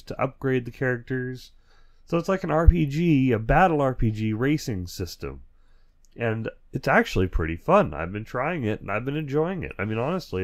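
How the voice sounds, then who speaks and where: A man hosting his own podcast in a casual, rambling way, talking through a lingering cold with a dry, stuffy throat. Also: medium pitch. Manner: rambling and self-correcting, with chuckles. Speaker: podcast host. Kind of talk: casual solo podcast chat.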